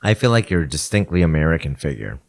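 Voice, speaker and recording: A man's voice: extremely low pitch, very animated, fast talker, creaky. A man co-hosting a podcast in casual chat, making agreeable, opinionated remarks. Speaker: podcast co-host.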